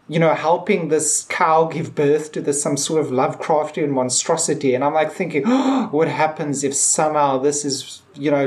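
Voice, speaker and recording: Tentative middle-aged man, higher-pitched podcast voice. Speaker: podcast co-host. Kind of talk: casual TV episode review discussion.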